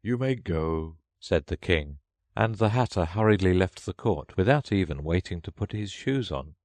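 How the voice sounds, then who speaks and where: A man reads a children's story as narrator, from a script. His voice is deep with widely varied intonation, recorded very dry. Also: lively intonation, moderate pace, a little mellow, no room sound.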